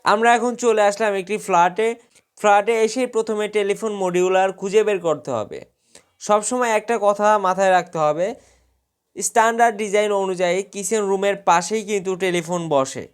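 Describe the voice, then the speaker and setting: A man's voice: high-pitched, brisk, smooth. A man narrating a scripted how-to tutorial, explaining step by step. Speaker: tutorial host.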